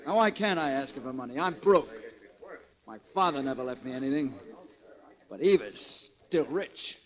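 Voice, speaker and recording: A man's voice: mid-range voice, animated, moderate pace, natural voice. A man playing a frightened, defensive suspect in 1940s radio style, agitated and indignant. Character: frightened, defensive, broke male murder suspect. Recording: dull lo-fi old radio recording.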